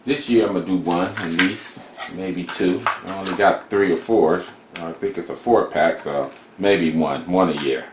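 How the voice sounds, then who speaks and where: Laid-back deep-voiced man, echoey room. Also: unhurried, noisy background. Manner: laid-back, rambling, chatty with fillers. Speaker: cigar reviewer. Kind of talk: casual show-and-tell vlog. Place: room beside his humidor.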